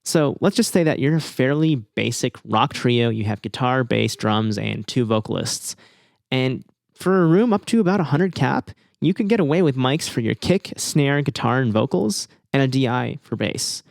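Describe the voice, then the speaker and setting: Dry-sounding man with a creaky voice. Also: medium pitch, expressive, normal speed, no room sound. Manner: conversational, explanatory, addresses listener directly. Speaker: podcast host giving advice. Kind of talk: informal instructional podcast talk.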